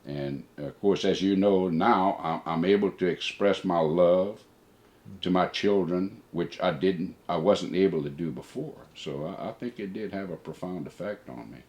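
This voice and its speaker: Older male, father figure with a very deep voice, for personal letter readings and reflections. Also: moderate pace, a little mellow.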